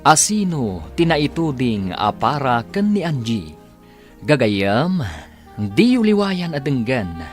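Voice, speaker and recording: A man narrating a radio drama as announcer, measured and dramatic. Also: mid-range voice, sing-song, faint music behind.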